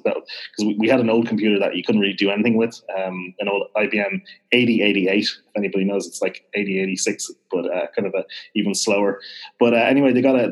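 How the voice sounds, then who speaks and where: A man with a mild Irish accent, chatting as a podcast guest, hesitant with many fillers and restarts. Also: on the low side. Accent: mild Irish (Dublin). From Ireland. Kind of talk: casual interview chat.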